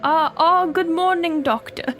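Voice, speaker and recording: A woman voicing a pompous, blustering gentleman explorer who is really a sea slug, with an attempted British accent. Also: very high voice, unhurried, slight background noise, pitched above the performer's own voice. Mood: jovial welcoming. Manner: pompous, declamatory, theatrical.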